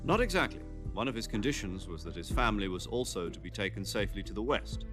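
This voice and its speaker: A seasoned male commander, voiced by an actor lays out a mission briefing for a video game. His low voice is deliberate, formal and authoritative, with loud music behind it. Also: expressive, natural voice. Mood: serious, explanatory.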